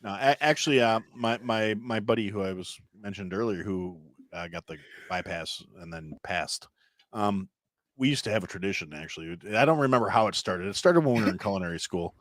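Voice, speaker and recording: A man's voice: fairly quick, fairly bright tone, dry recording. A man in his thirties from Wisconsin, a podcast guest telling long rambling stories and acting out other people's voices. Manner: rambling storyteller, acts out voices with big pitch jumps. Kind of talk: casual chat.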